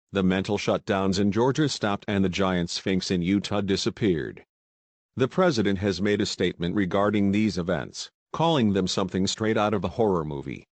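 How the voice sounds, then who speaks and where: A man's voice: fairly low pitch, dry recording, lightly acted. A man playing a local TV news anchor in an amateur drama, reading scripted stories. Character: male local TV news anchor, easily baffled.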